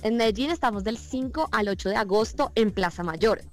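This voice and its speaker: A woman's voice: fairly high pitch, expressive, a little fast, soft background music. A woman with a Colombian accent speaking conversationally as an event organiser being interviewed.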